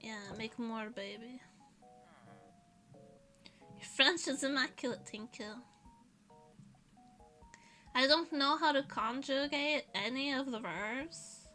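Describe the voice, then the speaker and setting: High bright girl voice, vtuber just chatting and rambling, soft music going behind. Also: slow, long pauses. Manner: rambling, chatty, laughs often, reads chat aloud. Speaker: vtuber streamer. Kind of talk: casual chat stream.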